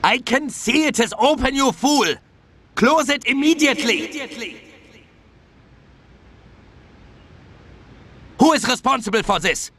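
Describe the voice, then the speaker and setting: An actor playing an overbearing German prison camp commandant in a video game, imperious and scolding, with a German accent. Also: male voice, very high voice, animated, moderate pace, heavily acted. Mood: irate. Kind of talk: video game cutscene acting. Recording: loud music under the voice.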